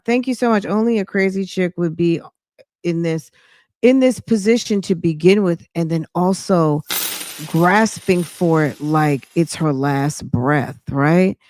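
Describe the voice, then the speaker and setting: A woman with a fairly low voice, recorded close and dry. She gives slangy, gossipy reaction commentary like a podcast host, talking straight to her listeners at an unhurried, drawn-out pace. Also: darkish tone, no echo. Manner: slangy, sassy, addresses listeners directly.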